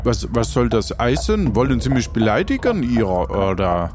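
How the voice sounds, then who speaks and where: A man playing a hospitable but easily offended man-eater in an improvised audio drama, speaking formally and haltingly. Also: medium pitch, very animated, noisy background, lightly acted. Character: touchy, hospitable male man-eater serving dubious food. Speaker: game master.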